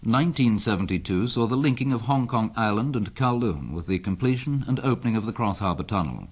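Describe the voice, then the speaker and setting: Male radio newsreader, lower register, scripted narration for a 1970s year-in-review. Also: lively intonation, a little fast, husky. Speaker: veteran radio news presenter.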